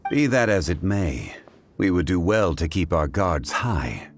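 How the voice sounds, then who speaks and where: A man playing a composed, learned gentleman in a video game, grave and courteous with measured, formal phrasing. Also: low-pitched, sing-song, a little hiss or hum, full character voice. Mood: grave, deliberate.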